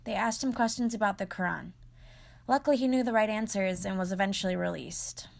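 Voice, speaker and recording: Woman narrating, gravelly edge. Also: mid-range voice, fairly quick. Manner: clear, read narration; short encouraging prompts. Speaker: host and interviewer. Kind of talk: scripted narration and casual interviewing.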